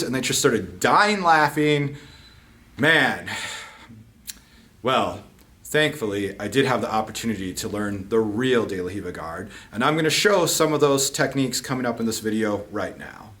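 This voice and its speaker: A man's voice: medium pitch, animated, moderate pace, fairly bright tone. A middle-aged man, a jiu-jitsu instructor, telling stories casually and then teaching techniques on the mat in a martial arts gym, often checking in with "okay?" and "right?". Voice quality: chatty, self-deprecating, frequent fillers like "okay?" and "right?".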